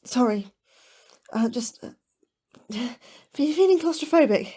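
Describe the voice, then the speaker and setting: An actress playing an anxious, questioning astrobiologist with a nervous, hesitant delivery in a sci-fi horror audio drama. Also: female voice, sits high, animated, a little slow, mellow, husky, exaggerated voice.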